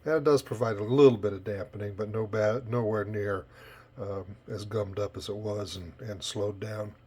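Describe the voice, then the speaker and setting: A man's voice: lowish voice, steady tone, normal speed, a little mellow, breathy edge. Folksy man giving a repair demo. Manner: folksy, plain-spoken. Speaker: repair technician presenter. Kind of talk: informal hands-on repair demonstration. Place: indoors at a repair bench. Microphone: cell phone camera. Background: music playing from tape machine.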